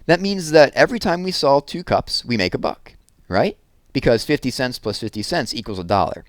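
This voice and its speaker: A man's voice: mid-range voice, expressive, brisk, no echo, pitched above the performer's own voice, lightly acted. An eager, slightly anxious young girl from a children's storybook, played by a man. The voice is lifted well up and swings about as it chats and explains things earnestly, recorded dry. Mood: confident, explaining. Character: eager, anxious eight-year-old girl, good at math. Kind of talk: children's storybook read-aloud.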